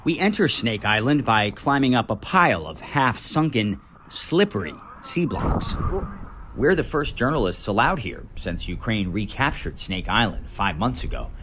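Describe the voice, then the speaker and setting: An American man, a news correspondent, reading a scripted report voice-over in a dramatic, measured way with deliberate pauses. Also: on the low side, big pitch swings, fairly bright tone, a little hiss or hum.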